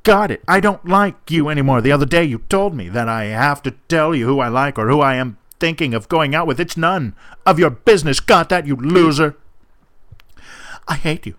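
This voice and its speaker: A man's voice: a little high, normal speed, a bit bright, dry recording, studio quality, lightly acted. A man playing a furious, scornful jilted young person in an emphatic, declamatory dramatic reading. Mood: furious, defiant.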